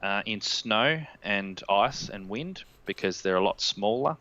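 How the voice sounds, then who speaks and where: A man's voice: fairly low pitch, expressive, a little slow, pushed and bright, dull audio. An Australian-accented man in his thirties, a guest expert giving a technical explanation on a live stream. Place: indoor room at home, on a video call. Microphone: headset.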